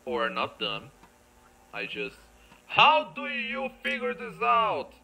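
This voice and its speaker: A man's voice: very high voice, wildly swooping pitch, takes it slowly, vocal fry, sharp tone, rough recording. A man giving casual let's play commentary, rambling playfully and thinking aloud as he plays. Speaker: gameplay video creator.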